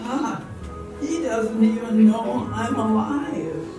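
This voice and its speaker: A woman acting as a polite, wistful dancer in an amateur radio drama, gentle and hesitant. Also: fairly high pitch, takes it slowly. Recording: very echoey hall from far off, music.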